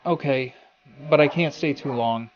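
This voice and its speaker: A man's voice: medium pitch, unhurried, reverberant, muffled recording, a little hiss or hum, close to an everyday voice. A man playing an earnest, weary young amateur detective in a scripted noir audio drama, subdued and reflective. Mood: hesitant, earnest. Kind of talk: scripted first-person noir narration and dialogue.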